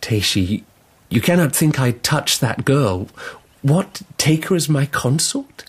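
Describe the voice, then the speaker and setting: An actor playing a restless, earnest emperor in a radio drama, speaking formally and haltingly. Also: male voice, mid-range voice, lively intonation, slightly rough, full character voice. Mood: troubled, hesitant. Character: restless young Japanese emperor, earnest and searching.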